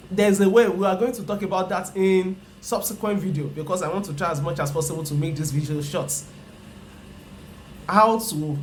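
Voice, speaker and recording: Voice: mid-range voice, a little hiss or hum. A Yoruba-speaking teacher from Nigeria, with a Nigerian accent, gives an explanatory personal finance lecture. The speaker repeats each point emphatically and keeps asking the listener rhetorical questions.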